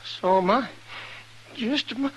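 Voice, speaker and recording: Weak, dying western outlaw. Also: male voice, very high-pitched, low-quality recording. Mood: pained, weary. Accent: American. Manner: halting, folksy. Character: mortally wounded male outlaw, weak and remorseful. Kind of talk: 1950s western radio drama acting. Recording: vintage radio recording with faint noise.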